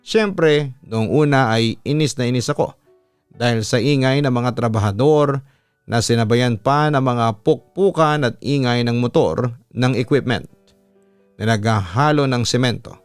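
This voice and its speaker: A Filipino man narrates a written personal story in the practised style of a radio announcer. His voice sits at a middle pitch and is recorded close and dry. Music plays softly behind him as he reads. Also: a little slow, smooth, dry recording. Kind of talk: scripted narration of a listener's letter.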